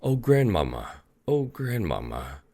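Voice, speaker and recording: A man's voice: on the low side, wildly swooping pitch, unhurried, dark tone, voice pushed up, exaggerated voice. A man voicing an innocent, trusting little girl in a fairy tale, with a guileless, polite manner. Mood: trusting wonder.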